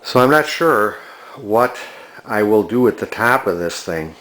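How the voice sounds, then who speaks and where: Slow, low-voiced man, casually musing. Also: lively intonation, fairly bright tone, a little hiss or hum, rough recording. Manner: hesitant, musing, halting delivery. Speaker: vintage electronics collector presenting a find. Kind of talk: casual unscripted walk-through commentary.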